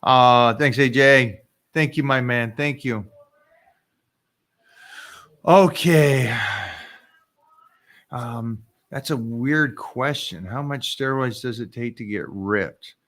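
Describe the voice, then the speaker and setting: A man hosting a live fitness coaching stream, talking in an energetic, emphatic way and repeating words when he gets excited. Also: mid-range voice, takes it slowly, long pauses.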